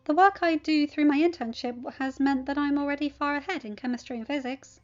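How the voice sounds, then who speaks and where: Woman doing polite awkward teen boy for an audiobook, high voice, kinda muffled home recording. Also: brisk, natural voice. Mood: hesitant, polite. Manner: respectful, hesitant, candid. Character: polite, earnest, slightly awkward teenage schoolboy. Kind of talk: audiobook character voice for fan fiction.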